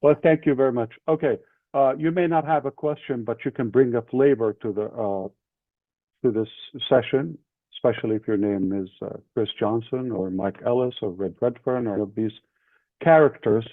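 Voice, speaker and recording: A man's voice: mid-range voice, moderate pace, no echo. Male session leader, emphatic motivational business delivery in short punchy phrases, tinny phone-band audio with a dry acoustic. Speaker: leader and main speaker of an online group session. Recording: thin phone-like call audio.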